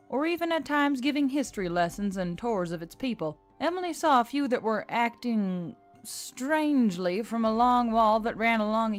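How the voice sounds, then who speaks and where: A woman reading scripted fantasy fiction aloud as the narrator. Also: fairly high pitch. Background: faint music.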